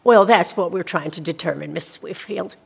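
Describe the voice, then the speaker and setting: A woman's voice: fairly low pitch, a little fast, vocal fry, breathy edge, exaggerated voice. A female social worker in a radio play. She speaks with careful politeness and an officious edge. Her manner is evasive and soothing, yet she keeps pressing. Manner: politely insistent, bureaucratic, soothing reassurances. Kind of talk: scripted audio drama dialogue.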